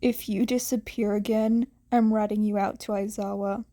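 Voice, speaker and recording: A woman voicing a blunt, dry teenage boy with a deadpan manner in a story reading. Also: medium pitch, moderate pace, lightly acted. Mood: threatening.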